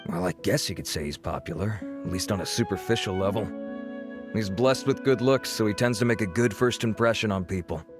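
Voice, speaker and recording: A man's voice: on the low side, brisk, over music, close to an everyday voice. A man voicing a chatty, gossipy male institute worker with a judgmental tone. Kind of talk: video game voice acting.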